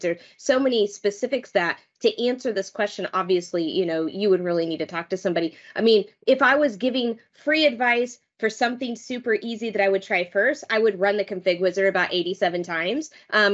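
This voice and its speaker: A middle-aged woman giving candid, informal advice as a panelist on a video call. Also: medium pitch. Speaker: expert panelist. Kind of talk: casual chat, informal advice. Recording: slightly dull video call audio.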